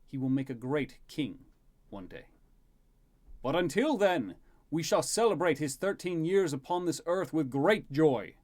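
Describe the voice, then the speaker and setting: A man's voice: mid-range voice, a little mellow, pitched above the performer's own voice. A man voicing a proud, loving Goliath king in a stately, formal speechmaking manner for a fantasy story.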